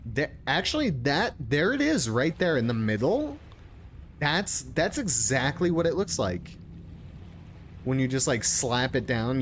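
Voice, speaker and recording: A man's voice: mid-range voice, a little hiss or hum. A young adult man giving casual, crude, joking live commentary as he plays a game. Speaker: streamer playing a game.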